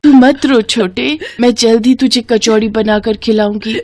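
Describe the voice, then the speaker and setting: A woman's voice: a little high, fairly even intonation, a little slow, a little mellow. A woman voicing a poor, protective big sister in a moral story, speaking in an earnest, pleading way. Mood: tender. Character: poor, caring big sister scrap-picker girl. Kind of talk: moral story character acting.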